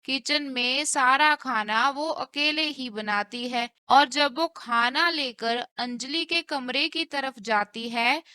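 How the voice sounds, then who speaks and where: A woman's voice: sits high, slow pace, few pauses, sharp tone, clean tone, no echo. A woman narrating a scripted cartoon story.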